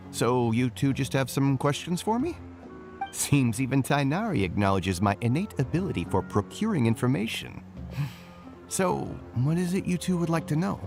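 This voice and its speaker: A self-deprecating, easygoing male scholar for a video game. The voice is mid-pitched and animated, chatty and a little nervous, with faint music underneath. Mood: relieved. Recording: music behind the voice.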